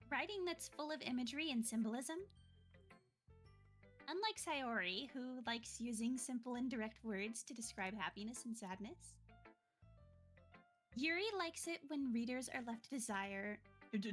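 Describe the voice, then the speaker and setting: A woman's voice: a little high, a bit bright. A woman voicing a confident, encouraging schoolgirl literature club president in visual novel dialogue, reassuring and chirpy. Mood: explaining. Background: game music.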